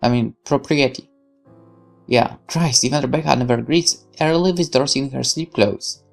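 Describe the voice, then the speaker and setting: Let's player for visual novel read-aloud: creaky, mid-pitched, expressive narration with casual asides, light music underneath. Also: moderate pace.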